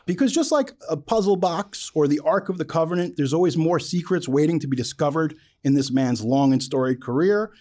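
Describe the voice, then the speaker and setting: Man, podcast host, lively swooping intonation, soft mellow timbre, effusive, dramatic emphasis. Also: on the high side, fairly quick, hardly any pauses. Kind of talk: enthusiastic interview with a prepared introduction.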